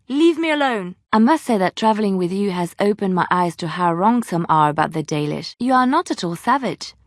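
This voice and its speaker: A woman playing a devout, gentle, romantic young companion in a fantasy video game, speaking in formal, earnest phrases. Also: mid-range voice, no room sound, studio quality, natural voice. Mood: upset, indignant.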